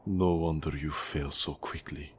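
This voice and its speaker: A man playing a cold, manipulative Russian mafia boss in an ASMR roleplay, with a Russian accent, a husky low voice and a measured, condescending, menacing delivery. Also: fairly even intonation, a little hiss or hum. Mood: stern, impatient.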